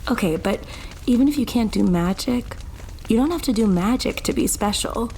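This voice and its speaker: A woman's voice: fairly high pitch, animated, normal speed, mellow, slight background noise, low-quality recording. An actress playing a direct, supportive young woman who swears casually. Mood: reassuring.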